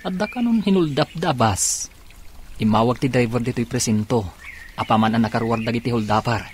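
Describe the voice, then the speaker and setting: Actor as brisk, urgent Ilocano policeman. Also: medium pitch, a little hiss or hum, close to an everyday voice. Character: brisk, urgent male police officer giving orders. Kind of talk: Filipino radio drama acting.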